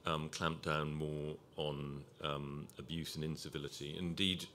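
Male panel chair, very low voice, stumbling thoughtful delivery, faint room noise. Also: little pitch movement, unhurried, fairly bright tone. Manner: hesitant, many restarts, thoughtful. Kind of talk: moderating a panel discussion.

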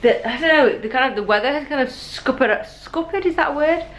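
A woman's voice: sits high, lively intonation, a little fast, fairly bright tone. A British woman in her thirties chatting casually and informally to a handheld camera as a travel vlogger. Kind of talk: casual handheld vlog chat. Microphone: camera at arm's length. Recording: noisy street or room ambience.

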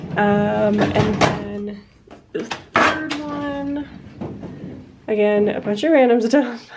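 A woman's voice: mid-range voice, background noise. A young woman in her twenties chatting casually to camera in her home office, congested and a little short of breath, rambling with lots of fillers. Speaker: vlogger. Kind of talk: casual vlog chat. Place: small home office, indoors.

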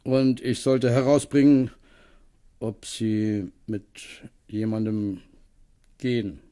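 A man acting a practical, fatherly go-between in a radio play, gentle and persuasive. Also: lowish voice, fairly even intonation, takes it slowly, clean tone.

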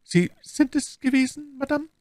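A man's voice: extremely high pitch, animated, moderate pace, a little mellow, no room sound, clean studio sound, pitched above the performer's own voice. A man voicing a scheming, effusive noblewoman in a dramatic audiobook. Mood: startled, embarrassed. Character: scheming adult noblewoman, false, intense, gushing.

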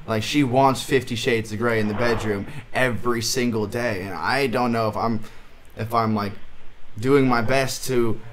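A man in his twenties making a deadpan prank phone call, sounding puzzled, hesitant and naive. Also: mid-range voice, normal speed, noisy background. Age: 20s. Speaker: prank caller. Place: small indoor studio room.

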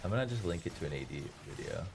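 A man's voice: sits low, animated, very fast, noisy background. A young man whose first language is Farsi streams a game and chats with his viewers in a joking, self-mocking way, speaking into a headset in a small room. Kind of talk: casual stream commentary. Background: faint game music.

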